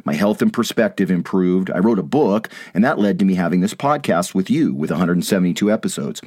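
Man, raspy, hoarse from a cold, earnest, inspirational, podcast host, low voice. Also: a little mellow, no room sound, studio quality. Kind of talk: podcast monologue and interview.

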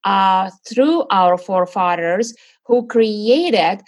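A woman's voice: medium pitch, expressive, slow. A middle-aged woman from Czechoslovakia, answering interview questions in an earnest, searching way with many fillers and restarts. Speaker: guest.

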